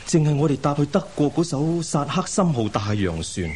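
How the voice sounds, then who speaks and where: A man's voice: fairly high pitch, lively intonation, normal speed, natural voice. A man acting a scholarly middle-aged imperial envoy and placating husband in a Cantonese radio drama, with a Hong Kong accent, sighing and hesitant. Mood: indulgent, coaxing. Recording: hissy radio drama rebroadcast.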